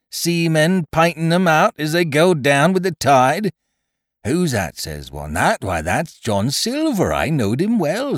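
A man acts out a sly, menacing pirate sea cook from an adventure storybook. His rustic sailor talk swings dramatically in pitch and takes its time, full of contempt and command. The sound is close and dry. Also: dry recording. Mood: scornful, bitter. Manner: rustic old-time sailor speech with theatrical emphasis. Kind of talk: dramatised audiobook reading of a classic adventure story.

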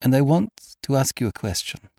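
A man's voice: mid-range voice, lively intonation, moderate pace, vocal fry, dark tone, no room sound, studio quality, pitched above the performer's own voice. A man voicing a dramatic, anxious, pleading noblewoman in an audiobook. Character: beautiful, cold yet frightened foreign noblewoman. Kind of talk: audiobook character acting.

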